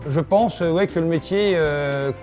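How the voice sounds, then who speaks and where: A man in his fifties from Normandy, a farrier, talks outdoors at his own horse yard. His voice sits a little high for a man, and soft music plays faintly under the talk. He answers steadily and keeps agreeing with "absolutely". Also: measured pace. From Normandy, France. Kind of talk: interview answers.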